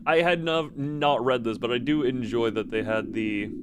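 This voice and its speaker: A man's voice: mid-range voice, measured pace, noisy background. A man streaming casually, moving between reading stories aloud and talking to his live chat. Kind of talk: casual livestream commentary with read-aloud story passages.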